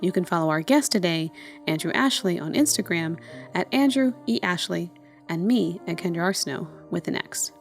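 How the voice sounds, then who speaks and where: A woman in her thirties reading a scripted podcast introduction in a clear, presentational way. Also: lowish voice, expressive, normal speed. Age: 30s. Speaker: podcast host. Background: music.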